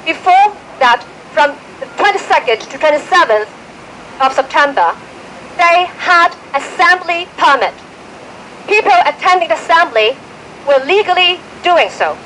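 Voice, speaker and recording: Woman spokesperson, high voice, measured, faint outdoor hum. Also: lively intonation, bright, forceful tone, poor audio. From Hong Kong. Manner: measured, point by point. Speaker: press conference spokesperson. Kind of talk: formal prepared statement. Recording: outdoor press event with faint street noise.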